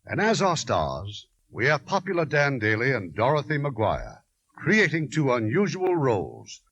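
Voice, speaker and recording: A man's voice: medium pitch, wildly swooping pitch, a little slow, lo-fi sound, a crowd in the background. A man giving a formal 1950s radio introduction as host. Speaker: radio show host and producer.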